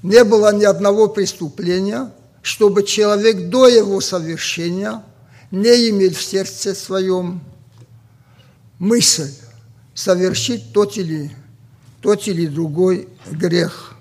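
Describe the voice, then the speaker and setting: Slow Ossetian-accented male preacher. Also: high voice, darkish tone. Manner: slow and deliberate with many pauses and fillers. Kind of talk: sermon.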